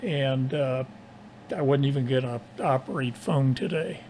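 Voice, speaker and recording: A man with a tired, strained voice talks casually and haltingly as an amateur radio operator. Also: medium pitch, darkish tone, slight background noise. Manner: halting, pausing often mid-sentence. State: tired, strained voice after nearly losing it. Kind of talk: casual ham radio conversation.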